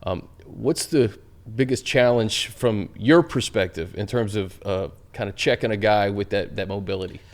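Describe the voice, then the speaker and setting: A man hosting a sports show, putting measured, analytical questions to a guest in a casual interview. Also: fairly low pitch.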